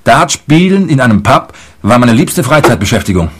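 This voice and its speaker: A man playing a hard-nosed, impatient businessman in a radio crime play, blunt and self-assured, with a husky voice. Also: medium pitch, big pitch swings, normal speed, fairly bright tone, a little hiss or hum. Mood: brusque. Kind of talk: radio crime drama dialogue.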